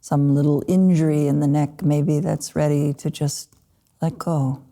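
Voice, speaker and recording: A woman teaching a guided meditation, speaking in short, unhurried phrases with gentle pauses. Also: low voice, flat delivery. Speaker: Buddhist meditation teacher (lama).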